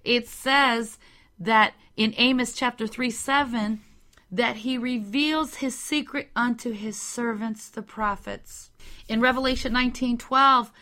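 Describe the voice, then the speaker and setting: A woman giving a slow, measured religious teaching, carefully spelling out the meanings of words. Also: fairly high pitch, steady tone, bright, forceful tone. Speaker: Bible teacher.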